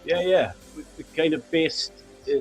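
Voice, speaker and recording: A man's voice: on the high side, moderate pace, slightly rough, faint music behind, low-quality recording. Welsh man from a band. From Wales. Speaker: band member, guest. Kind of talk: casual interview chat.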